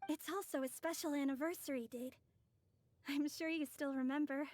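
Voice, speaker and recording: A woman's voice: high voice, fairly even intonation, normal speed, a little mellow, natural voice. A woman playing an earnest, curious and polite young scholar in a video game, speaking formally and articulately. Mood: eager, polite. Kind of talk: scripted video game dialogue.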